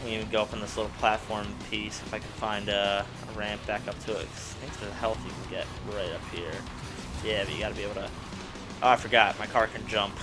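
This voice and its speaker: A man who is sick with a raspy voice, playing a car combat game and rambling through casual commentary with lots of fillers. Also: medium pitch. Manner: rambling, excitable, many fillers. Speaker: let's play gamer. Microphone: desk microphone at home.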